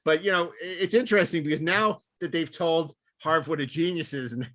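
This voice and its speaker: A man's voice: fairly high pitch, fairly quick, fairly bright tone, dry recording. Man hosting a podcast, dry close sound. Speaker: podcast host. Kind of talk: film discussion with read-out studio notes.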